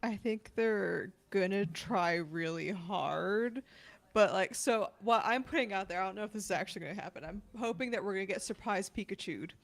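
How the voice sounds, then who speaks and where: A woman's voice: medium pitch, moderate pace, creaky. A woman speaking in casual, informal chat.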